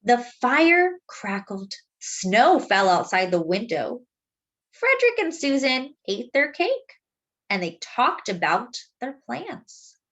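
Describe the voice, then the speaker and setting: A woman reads a picture book aloud expressively, explaining things simply and deliberately as if to young children. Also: a little high, big pitch swings, a bit bright. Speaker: children's storybook reader.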